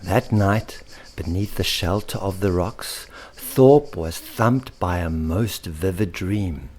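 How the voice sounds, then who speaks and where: Male storyteller, low and unhurried, dramatic delivery of rhyming children's tale, slight ambient noise behind. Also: a little mellow. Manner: theatrical, deliberate, dramatic emphasis. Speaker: author and storyteller.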